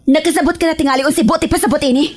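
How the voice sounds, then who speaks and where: A woman with a Cebuano accent acting a fed-up, quick-tempered wife who scolds emotionally in a radio drama. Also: sits high, steady tone, close to an everyday voice. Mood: bitter, hurt.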